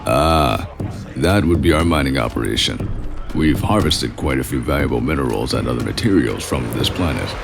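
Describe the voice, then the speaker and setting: An extremely deep-voiced captain played by a male actor, gravelly and creaky. He is courteous, formal and guarded, as in a sci-fi audio drama, with music playing behind him. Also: normal speed. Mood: polite, guarded. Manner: polite, formal. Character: courteous, guarded military captain giving a tour. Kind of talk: fan audio drama acting.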